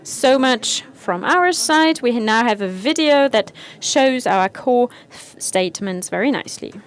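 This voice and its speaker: A woman's voice: a little high, animated, unhurried. A woman giving a conference presentation on stage, explaining points and reciting the questions customers get asked. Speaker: conference speaker.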